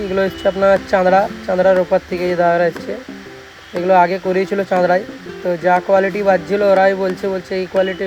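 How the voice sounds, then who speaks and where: Fast, even-toned West Bengal Bengali man. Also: high voice. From West Bengal, India. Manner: informal, repeats tag phrases. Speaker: speaker repair technician and channel host. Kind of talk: casual vlog narration showing repair work. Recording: music playing behind the voice. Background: music.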